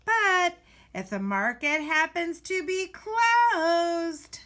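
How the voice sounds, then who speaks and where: A woman reading a children's storybook aloud expressively, with deliberate, dramatic emphasis and pauses. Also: extremely high pitch, animated, pushed and bright. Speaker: teacher reading a picture book aloud.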